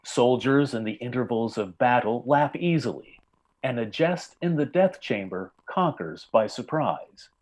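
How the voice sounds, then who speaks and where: A man narrating a story in old-time radio style, with formal, measured literary delivery. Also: medium pitch, expressive, a little mellow.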